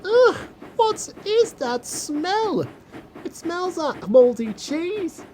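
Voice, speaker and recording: A woman's voice: very high-pitched, big pitch swings, extremely slow, a little mellow, a little hiss or hum, pitched above the performer's own voice, heavily acted. A woman voicing a vain, haughty male steam engine in a children's audio drama, complaining and exclaiming. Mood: disgusted.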